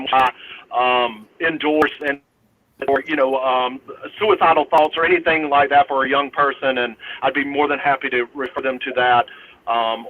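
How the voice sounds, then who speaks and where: A man speaking as a panel guest, earnest and pleading, with hesitant pauses and fillers. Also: mid-range voice. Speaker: panel guest, council member. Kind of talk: heartfelt closing remarks. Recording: dull lo-fi sound with faint hiss.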